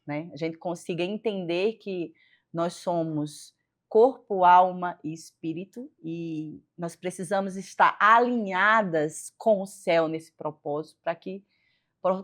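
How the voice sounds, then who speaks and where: Northeastern Brazilian woman, earnest and low. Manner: earnest, pauses with frequent "né". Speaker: guest, physiotherapist. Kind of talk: faith-based interview.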